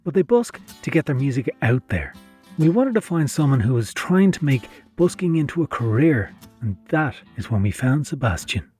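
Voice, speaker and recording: A man narrates a documentary from a script. His mid-pitched voice moves up and down with plenty of variety. Soft guitar music sits behind him. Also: big pitch swings, normal speed, slightly rough.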